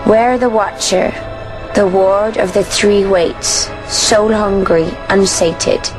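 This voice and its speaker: A woman voicing a calm, solemn non-human sky pirate. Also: mid-range voice, close to an everyday voice, low-quality recording. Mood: reciting. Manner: measured and solemn. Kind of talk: video game cutscene acting. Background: game score music.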